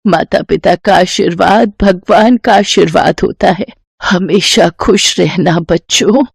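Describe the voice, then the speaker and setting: A woman voicing a kind, weary eighty-year-old grandmother in a moral story, speaking gently and affectionately. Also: fairly low pitch, slow pace, creaky, slightly rough, no room sound, clean studio sound, heavily acted. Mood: sorrowful blessing. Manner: gentle, affectionate, addressing others as children.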